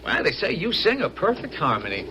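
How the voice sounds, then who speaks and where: A man's voice: on the high side, huge pitch swings, fairly quick. A man playing a modest young army private in a radio drama, respectful and plain-spoken. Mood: shy, respectful. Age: young adult. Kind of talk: 1970s radio drama acting. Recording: noisy vintage radio broadcast.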